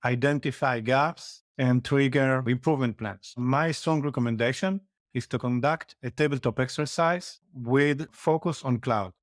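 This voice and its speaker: A man from Argentina, a guest on a podcast, explaining his points in a measured, step-by-step way. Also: mid-range voice, no room sound, studio quality. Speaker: interview guest, security executive. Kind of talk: casual interview chat.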